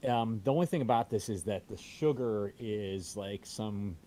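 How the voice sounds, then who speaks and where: A man's voice: on the low side, moderate pace, mellow. A man chatting casually on a livestream in a rambling, sweary way. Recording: faint background noise.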